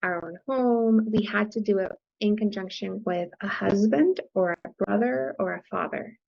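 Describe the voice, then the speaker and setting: A woman raised on the US-Mexico border whose first language is Spanish talks with lively enthusiasm, explaining ideas and checking in with "right?". Her voice carries a gravelly fry. The lo-fi podcast audio sounds dull. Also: medium pitch, moderate pace. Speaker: guest, financial coach. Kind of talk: conversational interview.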